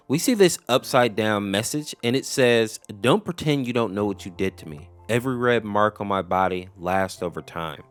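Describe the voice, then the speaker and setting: A man's voice: lowish voice, dry recording. A man giving a casual explainer narration in a conversational, storytelling way. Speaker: YouTube host explaining animated horror lore. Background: background music.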